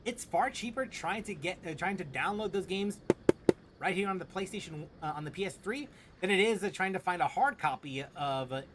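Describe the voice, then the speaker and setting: A young man streaming games, chatting casually in a rambling, halting way and repeating phrases for emphasis. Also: high voice, lively intonation, noisy background. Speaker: gaming streamer. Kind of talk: casual, rambling gameplay chat. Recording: game music behind.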